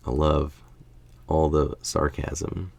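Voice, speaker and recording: A man's voice: very deep voice, little pitch movement, slow pace, gravelly, darkish tone, breathy edge. A tired middle-aged man reading an article aloud, informal, with casual asides. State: tired late at night. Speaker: article reader.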